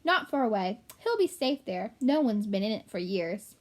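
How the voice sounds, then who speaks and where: A woman's voice: medium pitch, big pitch swings, moderate pace. A woman voicing an earnest young human boy in a storybook read aloud. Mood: reassuring.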